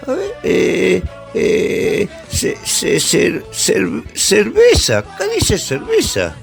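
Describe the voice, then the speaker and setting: A man's voice: high voice, huge pitch swings, extremely slow, creaky, faint music behind, exaggerated voice. A man with an Argentine accent playing a distrustful little snail in a radio fable, worried, hesitant and stammering. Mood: hesitant, curious. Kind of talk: radio fable acting with music bed.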